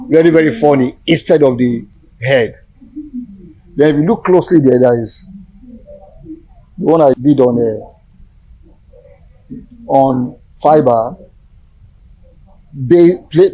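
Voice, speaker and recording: A man's voice: mid-range voice, dark tone, low-quality recording. A man with a Nigerian accent, an artist explaining his work informally in an interview.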